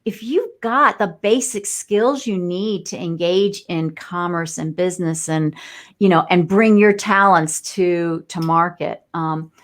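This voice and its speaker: A woman's voice: fairly low pitch, a little slow. A woman guest in a live discussion, explaining her views in a thoughtful, rambling way with many fillers and restarts. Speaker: guest entrepreneur.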